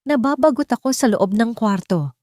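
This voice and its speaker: Woman playing gentle old wealthy matriarch, soft-edged voice. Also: fairly high pitch, animated, moderate pace, no echo, clean studio sound, pitched above the performer's own voice, lightly acted. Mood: gentle, weary. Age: elderly.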